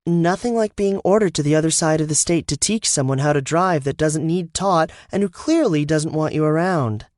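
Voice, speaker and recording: A cocky young woman racer with a playful, needling edge, as a male narrator puts her on. Her voice is lifted well above his own deep register, in a close, dry recording. She teases with easy confidence. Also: moderate pace, a little mellow, no echo, natural voice. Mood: teasing. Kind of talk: audiobook narration.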